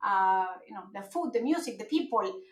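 A woman from Mexico, a podcast guest, talking in an animated way with many fillers and restarts. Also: medium pitch, normal speed. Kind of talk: conversational podcast interview. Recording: roomy echo.

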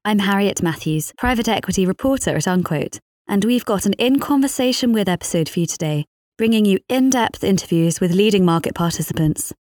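A woman's voice: medium pitch, expressive, brisk, a little mellow, dry recording. Female podcast host, dry close sound. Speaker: podcast host, financial reporter. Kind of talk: scripted podcast introduction and interview questions.

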